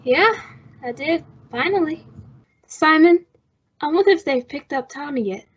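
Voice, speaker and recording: A woman's voice: sits high, animated, measured pace. A woman playing an anxious, devoted young sister in an old-time radio melodrama, earnest and emotional in manner. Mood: worried. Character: anxious, devoted young woman protecting her fugitive brother. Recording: dull, muffled lo-fi sound.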